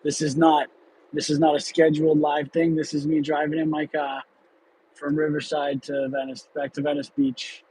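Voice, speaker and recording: A man in his late thirties hosts an off-the-cuff livestream from behind the wheel, answering viewers candidly and rambling through restarts. His voice sits a little high for a man. A faint hum of the car in traffic runs underneath. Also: completely flat delivery, normal speed, darkish tone, rough recording. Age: 30s. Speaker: livestream host. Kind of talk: casual livestream chat.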